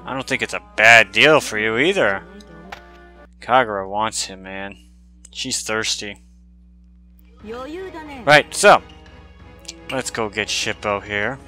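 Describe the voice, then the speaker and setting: A man's voice: on the low side, very animated, slow pace, pauses often, bright, forceful tone, faint music behind. A man doing casual gameplay commentary as a streamer, joking and swearing in slangy banter. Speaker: gaming streamer. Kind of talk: casual game playthrough commentary.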